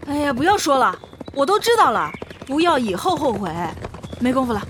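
Headstrong young princess in love, high and very lively, costume radio play. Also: female voice, fairly quick, a little hiss or hum. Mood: frantic, defiant. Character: headstrong lovestruck young princess, impulsive and impatient. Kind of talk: Chinese costume audio drama acting.

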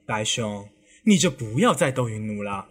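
Animated, self-assured young nobleman, played by an actor. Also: on the low side, moderate pace. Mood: reproving. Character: brash, generous young master of a rich house. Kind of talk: Chinese period audio drama acting. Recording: clear music underneath.

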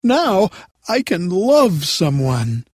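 Woman as wizard and fairy-tale fellows, drawn-out, theatrical, lively swooping pitch, husky, storybook. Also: dry recording, studio quality, heavily acted. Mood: happy, tender. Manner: slow, theatrical, drawn-out delivery. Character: male storybook characters: wizard, tin man, lion.

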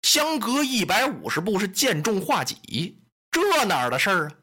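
A fretful, scheming general mutters his doubts in a low, grumbling voice, played by a storyteller. The tone is husky and bright. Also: sing-song, moderate pace. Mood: doubtful. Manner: grumbling, suspicious. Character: anxious scheming rival general.

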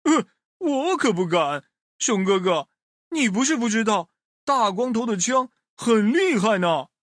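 Guy doing a scaredy little brother bear for storytime, high bright voice, hesitant. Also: expressive, unhurried, dry recording, studio quality. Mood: timid, scared. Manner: hesitant, anxious. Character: timid younger brother bear, male, fearful.

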